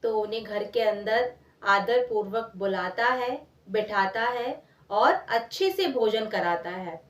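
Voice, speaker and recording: A woman's voice: medium pitch, animated, takes it slowly. A woman telling a devotional moral tale informally, addressing her listeners directly as a storyteller. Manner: addresses listeners with rhetorical questions. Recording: echoey room, distant microphone.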